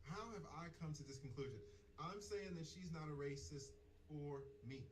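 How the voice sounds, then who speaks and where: A man's voice: a little high, normal speed, poor audio. A man in his forties from Atlanta with a Southern accent, giving his opinion in a casual monologue full of rhetorical questions. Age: 40s. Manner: conversational, repeats himself, rhetorical questions. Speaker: commentator on a video clip.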